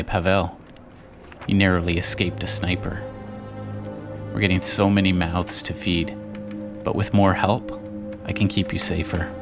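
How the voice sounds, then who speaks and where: A man playing a devoted, weary father in a besieged city, speaking a journal to a daughter in a grave, tender, measured way. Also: low-pitched, vocal fry. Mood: grave, worried. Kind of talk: dramatized journal narration addressed to his daughter. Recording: music under the voice.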